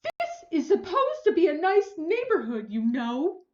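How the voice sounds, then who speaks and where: A woman doing a shrill, fussy neighbor lady. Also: wildly swooping pitch, measured pace, a little mellow, full character voice. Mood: indignant shrieking. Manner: shrill, indignant, panicky. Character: shrieking fussy middle-aged woman neighbor. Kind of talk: storybook reading. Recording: roomy, slightly dull recording.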